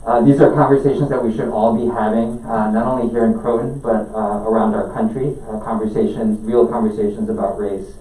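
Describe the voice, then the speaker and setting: A man from Peru in his thirties, a parent giving a halting public comment at a school board meeting, full of fillers and restarts. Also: lowish voice, fairly even intonation, warm tone, distant mic. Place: meeting room. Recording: echoey room, picked up from afar.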